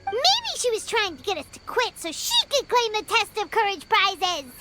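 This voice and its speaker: Very high squeaky little fairy sidekick. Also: female voice, wildly swooping pitch, moderate pace, sharp tone, heavily acted. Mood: puzzled. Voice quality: squeaky, childlike. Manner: refers to self in third person, exclamatory. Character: small childlike fairy companion, excitable, timid, earnest. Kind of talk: video game voice acting. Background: background music.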